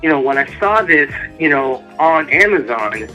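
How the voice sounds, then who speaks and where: A man's voice: a little high, sing-song, normal speed, sharp tone, muffled recording, soft background music. A man speaking as an author guest in a casual interview, earnest and motivational.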